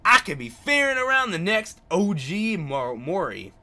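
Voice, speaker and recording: A man's voice: high voice, big pitch swings, measured pace, pushed and bright, pitched above the performer's own voice, full character voice. A man voicing a friendly, inquisitive young taxi driver in his twenties from a visual novel, in a casual manner. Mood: impressed. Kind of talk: visual novel character read aloud.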